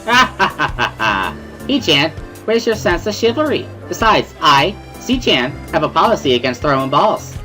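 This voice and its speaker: A man voicing a bubbly, loud teenage schoolgirl who teases in a gushing, sing-song way. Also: a little high, sing-song, moderate pace, soft background music, voice pushed up. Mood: teasing.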